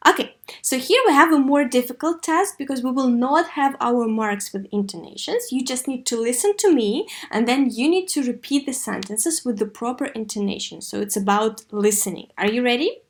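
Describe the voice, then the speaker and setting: Female English teacher for a kids' video lesson, slightly high pitch, instructive and expressive. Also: moderate pace, few pauses. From Russia. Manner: didactic, expressive model reading with clear questions. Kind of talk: video lesson for third graders.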